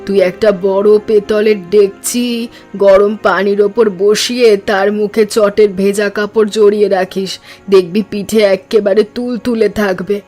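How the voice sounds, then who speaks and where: A woman acts a wise, older village auntie in a cartoon. She speaks slowly and deliberately, like someone used to giving advice, with music behind her. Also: medium pitch, steady tone, darkish tone, lightly acted. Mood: assured. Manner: slow, deliberate, advising. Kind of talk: cartoon story dialogue.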